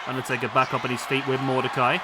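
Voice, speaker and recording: A man with a hoarse, worn voice giving excitable play-by-play commentary on a video game match. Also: medium pitch, quick pace, over a noisy crowd. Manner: excitable, bursts of exclamation. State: hoarse, losing his voice. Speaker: gaming YouTuber. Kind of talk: play-by-play commentary over a simulated wrestling match. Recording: clear background noise under voice.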